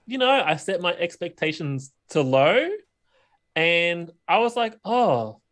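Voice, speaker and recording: A man's voice: high-pitched, very animated, takes it slowly, fairly bright tone. A man co-hosting a podcast in a casual, conversational style. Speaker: podcast co-host. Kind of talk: casual chat.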